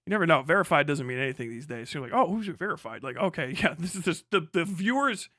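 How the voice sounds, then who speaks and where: Guy streamer ranting casually, swears a lot, sarcastic, sharp voice, really dry close sound. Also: a little high, animated, fast, no echo. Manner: profane, sarcastic, mocking. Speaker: live streamer. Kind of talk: casual livestream rant and commentary.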